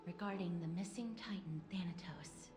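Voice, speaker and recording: A woman playing a gentle, solemn young maiden in a video game, speaking politely with quiet reserve. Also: on the low side, normal speed, close to an everyday voice. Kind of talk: scripted video game dialogue. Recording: echoey, with music behind. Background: music.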